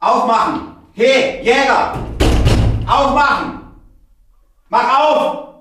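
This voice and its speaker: A man playing a naive, boastful puppet-show hero in a children's radio play, loud and clownish, in an echoey space. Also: very high-pitched, extremely slow, recorded from a distance, background noise, heavily acted. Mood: indignant, pleading. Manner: exuberant, clownish, shouting. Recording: very echoey room, slightly off mic.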